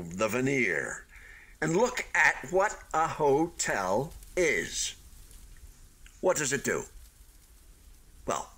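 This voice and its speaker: Radio play: a half-built hotel with feelings, eager and deluded, slow theatrical delivery, bright edge. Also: male voice, medium pitch, heavily acted. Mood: earnest, insistent. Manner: theatrical, halting then gushing, swooping emphasis. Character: unfinished hotel building, male, wounded, proudly deluded, eager optimist.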